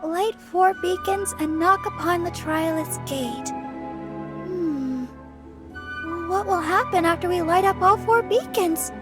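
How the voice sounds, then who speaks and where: Casting: happy-go-lucky gentle anime game girl, very high sweet voice, slow dreamy pace. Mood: curious. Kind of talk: anime video game voice acting. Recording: soft game music behind the voice.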